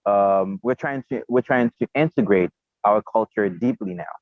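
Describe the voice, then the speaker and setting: A man's voice: on the low side, normal speed, no echo. A young Haitian man whose first language is Haitian Creole, talking in an interview with many fillers and repeated words. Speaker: podcast guest. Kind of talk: conversational interview. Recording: tinny phone-like remote call.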